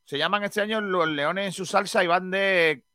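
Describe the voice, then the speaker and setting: Bright-voiced man hosting radio. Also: high-pitched, moderate pace, clean tone, no echo, studio quality. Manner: chatty, relaxed, trails off and restarts often. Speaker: radio host. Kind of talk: live radio chat presenting carnival songs.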